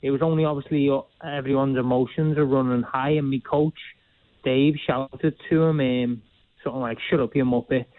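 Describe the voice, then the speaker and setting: A man's voice: mid-range voice, steady tone, moderate pace, dry recording. A young man with a Liverpool accent, a boxer talking casually as a guest, chatty and plain-spoken with frequent laughs, heard over a phone line. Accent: Liverpool (Scouse). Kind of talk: casual interview chat. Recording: tinny dry phone line.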